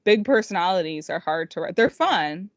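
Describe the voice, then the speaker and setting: A woman's voice: fairly low pitch, lively intonation, moderate pace, no room sound. A woman podcast co-host chatting casually and unscripted, rambling informally with lots of "like", on slightly lo-fi audio. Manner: informal, rambling, heavy use of "like". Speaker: podcast co-host and indie author.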